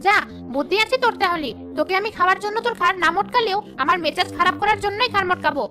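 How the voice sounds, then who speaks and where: A woman voicing a short-tempered, hungry river ghost with a bossy, threatening manner in an animated folk tale. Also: very high voice, racing, soft background music. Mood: menacing.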